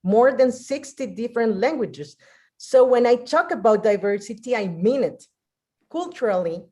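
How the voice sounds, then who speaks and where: A woman's voice: on the high side, expressive, a little mellow. A woman from Colombia with a Colombian Spanish accent, telling personal stories off the cuff and often searching for words in a second language. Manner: halting, searching for words in non-native English. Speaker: guest speaker. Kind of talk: unscripted personal storytelling.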